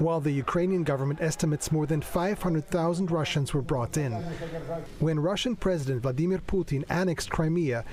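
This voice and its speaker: A male reporter narrating a scripted news report in a steady, even way. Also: a little high, noisy background. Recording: news report with music underneath.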